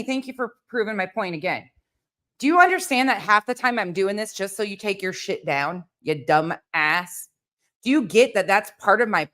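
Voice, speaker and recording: A woman's voice: medium pitch, fairly bright tone. A woman hosting a live reaction stream, with a blunt, combative, sweary way of talking. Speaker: livestream host.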